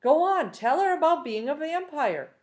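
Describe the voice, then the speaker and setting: A woman's voice: sits high, sing-song, normal speed, a little mellow, voice pushed up. A woman voicing a sly, nimble male trickster in a storybook reading, egging a boy on.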